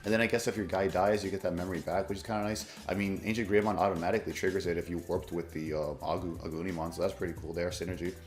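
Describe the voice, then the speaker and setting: Fast-talking hoarse man, echoey room. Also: low voice, fairly even intonation. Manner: rambling, fillers and self-corrections. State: hoarse, voice mostly lost. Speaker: card game content creator. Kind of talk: casual commentary on tournament results.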